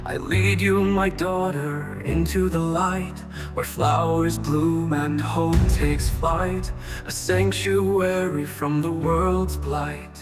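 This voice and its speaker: A woman singing a pop ballad in a drawn-out, melodic manner. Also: on the low side, fairly even intonation. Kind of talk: sung pop ballad with electronic backing. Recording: echoey song mix under loud music.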